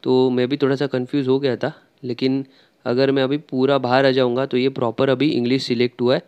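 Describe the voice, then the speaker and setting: A man's voice: mid-range voice, flat delivery, fairly quick, darkish tone, clean tone, lo-fi sound. A man talking through a screen-recorded tutorial step by step, chatty and loose, with frequent filler sounds. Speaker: tech tutorial creator.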